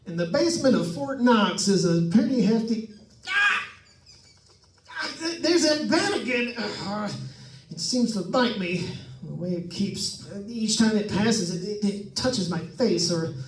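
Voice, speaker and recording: A man's voice: high voice, animated, measured pace. An actor playing a nervous, wisecracking man alone in a haunted house, chatting to listeners in an old-time radio suspense style. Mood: startled, jittery. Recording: echoey room, distant mic.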